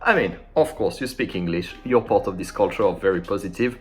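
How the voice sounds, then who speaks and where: A man speaking English as a second language, giving a casual, informal French lesson in an animated way. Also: fairly low pitch, a little fast, soft background music. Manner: animated and informal, acting out sample dialogues.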